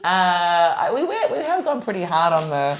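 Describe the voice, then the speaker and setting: A woman's voice: lowish voice, very animated, normal speed, a little hiss or hum. A young adult woman hosting a podcast in casual chat, rambling with many fillers and restarts. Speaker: podcast host.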